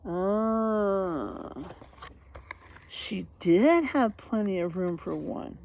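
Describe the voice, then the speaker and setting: A woman's voice: mid-range voice, animated, extremely slow, vocal fry, a little mellow, a little hiss or hum. A woman reading a children's story aloud as a teacher would, speaking directly and encouragingly to young listeners. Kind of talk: children's read-aloud with rhyme and vocabulary talk.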